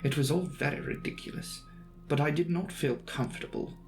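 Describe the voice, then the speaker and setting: A man narrating a classic novel as a dramatic audiobook, with measured, formal, theatrical phrasing. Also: a little high, creaky, darkish tone, soft background music.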